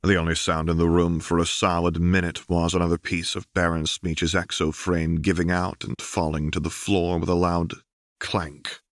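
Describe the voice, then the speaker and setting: A man's voice: deep voice, little pitch movement, moderate pace, no echo, studio quality. A man reading scripted fiction aloud as an audiobook narrator.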